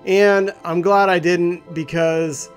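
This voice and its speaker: A man's voice: sits high, steady tone, slow pace, soft background music. A man hosting a gaming channel, chatting casually to viewers in an informal, rambling, conversational way.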